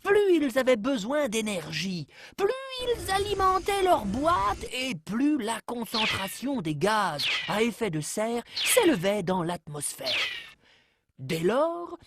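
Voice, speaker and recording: A woman narrates an educational cartoon like a storybook tale, in a measured, deliberately phrased storytelling delivery. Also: fairly high pitch, very animated, background noise.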